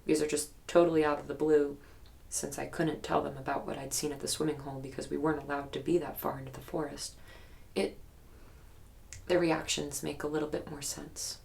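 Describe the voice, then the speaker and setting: An actress playing an earnest young woman recounting frightening memories in a halting, guarded, matter-of-fact interview style for an audio drama. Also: deep voice, level tone, creaky, a little mellow. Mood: frustrated, measured. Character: haunted, earnest young woman recalling childhood terror.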